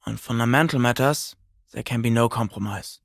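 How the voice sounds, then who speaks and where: A male actor plays an earnest young man, a self-serious communist intellectual in a video game. He speaks with bookish precision and a pedantic streak, in a mid-pitched voice with noticeable fry. The sound is dry, with no room around it. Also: normal speed, no echo, lightly acted. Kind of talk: video game character voice lines.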